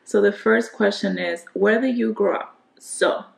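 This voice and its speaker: A woman's voice: mid-range voice, measured pace, vocal fry, reverberant. A woman in her twenties from the Dominican Republic, a native Spanish speaker talking with a Dominican accent and answering questions to camera in a casual, rambling way. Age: 20s. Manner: chatty, trailing restarts, gesturing with hands. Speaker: beauty vlogger. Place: bedroom at home, indoors.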